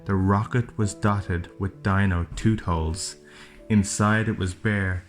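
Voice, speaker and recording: A man's voice: low voice, steady tone, slow. A man reading a rhyming storybook aloud as a father would to his child, with playful, chatty asides. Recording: music playing behind the voice. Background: plucked guitar music.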